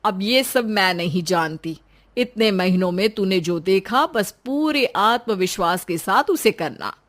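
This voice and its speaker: A woman's voice: mid-range voice, lively intonation, a little slow, a bit bright. Lady doing an older school boss lady in a story, firm but reassuring, emphatic. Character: encouraging, firm older woman school owner.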